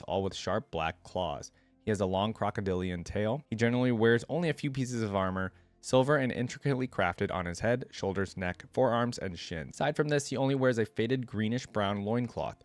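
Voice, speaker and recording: Male reaction host, lowish pitch, close dry recording, reads text aloud with casual asides. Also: dry recording. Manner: informal, thinks aloud with frequent "like". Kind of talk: reading lore aloud with casual commentary.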